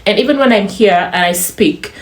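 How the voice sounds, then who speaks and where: A young woman with a Ugandan accent, lightly polished, talks casually to her viewers. She laughs easily and drifts into lively asides. Her mid-pitched voice sounds roomy, with noticeable echo around it. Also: moderate pace. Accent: Ugandan, slightly polished. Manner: chatty, laughs often, animated asides. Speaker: vlogger. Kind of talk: casual chat to camera.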